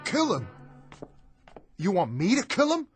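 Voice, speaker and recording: An actor playing a timid, nervous small-time crook in a radio drama, stammering and repeating himself in street slang. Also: male voice, sits high, wildly swooping pitch, measured pace, soft background music, full character voice. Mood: frightened pleading. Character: jittery, timid small-time male crook, cowed and pleading.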